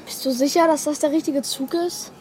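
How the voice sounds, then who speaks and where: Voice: high-pitched, steady tone, brisk, a little mellow, slight background noise, close to an everyday voice. A performer playing an anxious young boy who acts in and narrates a children's radio play, earnest and questioning. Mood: worried, doubtful.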